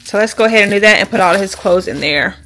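A young woman talks straight to the camera she holds at arm's length. She chats loosely with her viewers and rambles from one thing to the next. Her voice sits at a middle pitch for a woman. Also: racing, fairly bright tone, slight background noise, rough recording. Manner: chatty, rambling, addresses viewers as y'all. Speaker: vlogger. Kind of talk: casual chat to camera. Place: home bedroom and a store. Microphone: handheld camera at arm's length.

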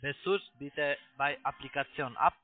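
A man who is not a native speaker, giving instructions on a phone call in halting, broken sentences as a call centre agent. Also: on the high side, very noisy. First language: not German. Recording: tinny narrowband phone line.

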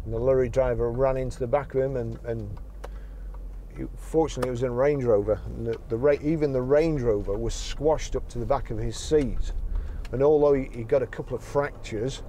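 Middle-aged dealer, mellow voice, rambling chat at the wheel, faint road hum. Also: male voice, mid-range voice, steady tone, fairly quick. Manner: chatty, rambling, many restarts. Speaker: car dealer reviewing a car. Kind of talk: casual car review while driving. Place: inside a car on the road. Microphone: car-mounted camera.

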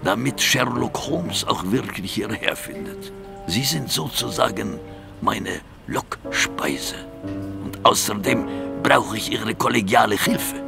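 An obsessive mad surgeon in a radio play, speaking slowly with a creaky voice. He is grandiose and theatrical, lingering and repeating himself with quiet menace, while music plays behind him. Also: lowish voice, exaggerated voice. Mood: sinister, courteous. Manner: theatrical, halting, repeats his own phrases. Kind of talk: German audio drama acting.

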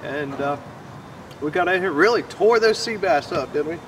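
A man's voice: on the high side, animated, moderate pace, a little hiss or hum. A middle-aged man talking casually and folksily outdoors as the host of a fishing vlog. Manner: folksy, informal. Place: outdoors on a waterfront fishing pier and bench. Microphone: camera a few metres away. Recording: distant outdoor camera sound under loud music.

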